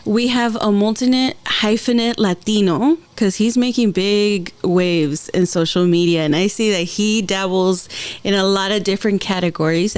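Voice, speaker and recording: A woman's voice: medium pitch, a little mellow. Woman, podcast host, bubbly, mixes in Spanish phrases, casual chat.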